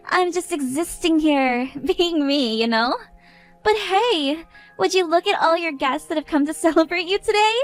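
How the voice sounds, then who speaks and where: A drunk young woman who taunts in a high, sing-song voice and breaks into giggles all the time, as a woman acts her out. Faint music plays underneath.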